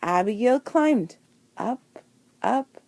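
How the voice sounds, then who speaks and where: A woman reading a children's picture book aloud with deliberate, dramatic phrasing and emphasised words. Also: a little high, animated, darkish tone, dry recording. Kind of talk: expressive children's storybook read-aloud.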